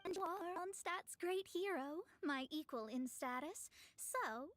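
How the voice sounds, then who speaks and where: A woman playing a proud, theatrical young astrologist in an animated fantasy game, speaking in a haughty, formal, lecturing manner. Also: extremely high pitch, a little slow, a little hiss or hum, full character voice. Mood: persuasive, self-assured.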